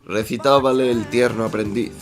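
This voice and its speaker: A man's voice: medium pitch, steady tone, normal speed, lightly acted. A man narrating a radio soap in a florid, mock-solemn storytelling manner. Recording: music behind the voice.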